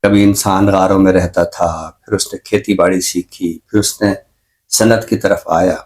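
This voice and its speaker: A man with a Pakistani accent speaking as a talk show expert in a reflective discussion, explaining in measured, repeated phrases with examples. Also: low voice, steady tone, darkish tone. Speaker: expert guest on a literary and social talk show.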